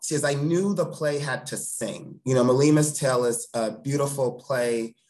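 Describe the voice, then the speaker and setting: A man's voice: mid-range voice, moderate pace, darkish tone. A man in his thirties, a theatre director, talking casually as a guest on a video call from his living room. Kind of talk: casual conversational interview.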